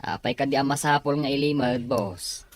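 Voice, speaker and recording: A woman's voice: very deep voice, normal speed. A woman playing an eager, money-minded underling in an Ilocano audio drama, with a Filipino accent. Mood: eager, curious.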